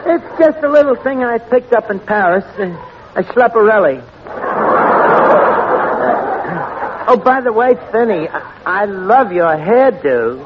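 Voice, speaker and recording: A man's voice: high voice, animated, moderate pace, over a noisy crowd. A man acting in an old radio comedy as a slangy, put-upon tavern manager with a New York accent who mangles his words. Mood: mock-girlish gushing. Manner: wisecracking, mangles words and grammar. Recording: muffled, noisy old radio broadcast.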